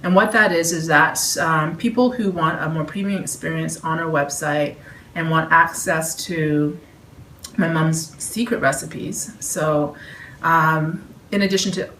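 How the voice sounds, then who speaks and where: A woman with a Canadian accent, her voice sitting a little low, chatting casually with lots of fillers. She is recorded at a dining table at home by a camera some distance away, in an echoey room with faint hiss. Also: unhurried, fairly bright tone. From Toronto. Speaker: vlog host. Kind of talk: casual announcement. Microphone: camera across the table.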